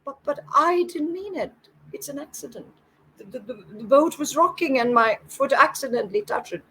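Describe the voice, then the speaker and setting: Woman as a high, pleading, humble farmer. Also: expressive, takes it slowly, darkish tone, a little hiss or hum, low-quality recording. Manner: pleading, stammering, deferential. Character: poor, frightened, humble male farmer.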